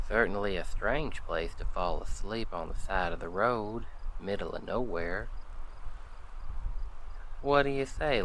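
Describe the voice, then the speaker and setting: A man playing a friendly, gentlemanly Old West sheriff in a western audio roleplay, folksy and reassuring as he talks to the listener. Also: lowish voice, slight background noise, exaggerated voice. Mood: wry, musing. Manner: folksy, unhurried, reassuring cowboy talk.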